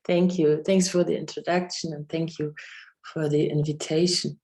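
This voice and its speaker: A low-pitched woman with a German accent, her tone mellow and soft-edged, who starts out a bit halting before settling into a steady reading of her own prose. She is heard through a video call. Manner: hesitant, restarts when speaking freely. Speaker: author giving a reading. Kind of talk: reading aloud from a novel and chatting.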